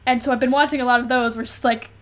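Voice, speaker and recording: A woman's voice: on the high side, steady tone, very fast, a bit bright. A woman co-hosting a podcast in casual, comedic chat, with an exclamatory, dramatic, sweary way of talking. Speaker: podcast co-host.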